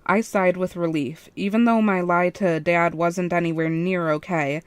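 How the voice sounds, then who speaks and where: A woman's voice: fairly low pitch, no room sound. A woman reading a first-person fan fiction story as its narrator.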